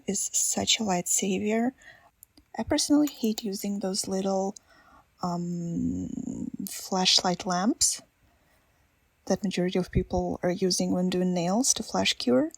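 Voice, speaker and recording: A woman with a Russian accent, a native Russian speaker, narrating a nail art ASMR voiceover in a soft, self-deprecating, chatty way. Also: medium pitch, a little slow, creaky, breathy edge, dry recording.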